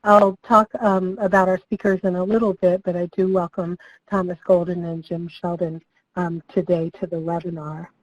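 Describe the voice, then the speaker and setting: A slightly hoarse woman, a project director, welcomes people to a training webinar and gives an overview, friendly and with frequent ums. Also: lowish voice, flat delivery, moderate pace, highs cut off. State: slightly hoarse, losing her voice.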